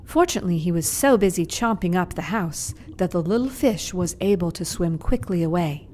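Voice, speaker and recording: A woman's voice: fairly low pitch, lively intonation, normal speed, background noise. Woman narrating a children's puppet show. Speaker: storyteller, puppeteer. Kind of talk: scripted children's story narration.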